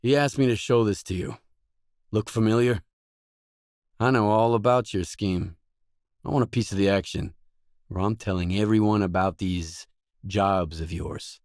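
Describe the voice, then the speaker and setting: An actor playing a wary, blunt mercenary stalker in a video game, with terse, dry, matter-of-fact delivery. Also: male voice, on the low side, moderate pace, long pauses, darkish tone, dry recording, close to an everyday voice. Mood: confrontational. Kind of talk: scripted game voice lines.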